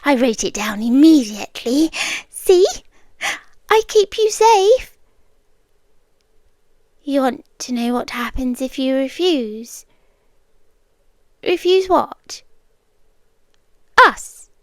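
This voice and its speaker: A British woman playing an obsessive, possessive young girlfriend in an intimate ASMR roleplay, soft and deliberate, sweetly menacing, slipping into whispers. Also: high-pitched, lively intonation, no room sound, clean studio sound. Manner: slow, deliberate, coaxing, with pauses and whispered words. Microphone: close, dry microphone.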